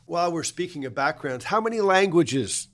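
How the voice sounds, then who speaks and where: A man's voice: a little high, lively intonation, moderate pace. A middle-aged man hosting a podcast interview, asking friendly, leading questions. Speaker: podcast host.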